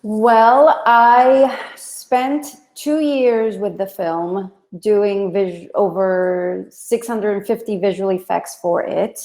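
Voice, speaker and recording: Female, from Puerto Rico, unhurried and gravelly, halting, heartfelt panel remarks in an echoey room. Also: medium pitch, steady tone, a little mellow. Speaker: film visual effects artist, panel guest.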